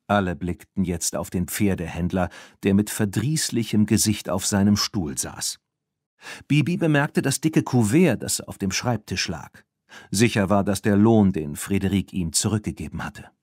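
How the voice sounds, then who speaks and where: A man narrates a children's story in a fairly low voice. His delivery is scripted and expressive, and the recording is dry and close. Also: normal speed, no echo. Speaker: audiobook narrator.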